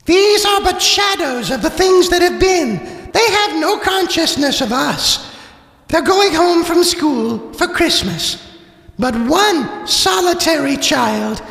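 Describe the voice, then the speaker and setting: Very high, echoing ghostly spirit. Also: male voice, lively intonation, takes it slowly, creaky, a bit bright, full character voice. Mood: solemn. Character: solemn, slow, high-voiced ghostly spirit guide. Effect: long reverb. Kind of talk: audio drama acting. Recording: heavy echoing reverb.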